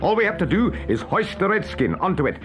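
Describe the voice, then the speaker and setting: Storybook pirate lackey played by a man: dim, eager, obedient, higher-pitched and lively, music underneath. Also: brisk. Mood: gruffly excited. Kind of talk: dramatized children's storybook reading. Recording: music behind.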